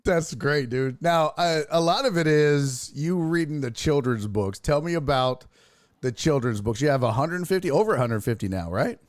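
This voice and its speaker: A man's voice: fairly high pitch, animated, normal speed, dry recording, studio quality. A man hosting a casual interview show, talking in slangy, profane, buddy-to-buddy style. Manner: profane, slangy, addresses guest as dude. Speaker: talk show host and comic.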